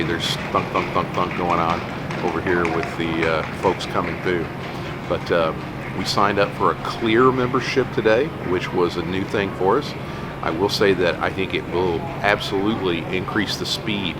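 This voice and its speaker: Deep-voiced older man vlogging from an airport. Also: heavy background noise. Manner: chatty, explanatory, frequent ums and uhs. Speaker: travel vlog host. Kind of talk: casual travel vlog narration. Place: airport terminal.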